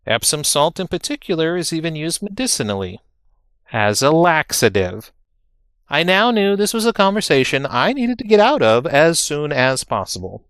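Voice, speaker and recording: A man's voice: a little high, sing-song, normal speed, fairly bright tone, clean tone, no echo. Man narrating a dryly formal comic story, close dry sound. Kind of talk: scripted humorous first-person story narration.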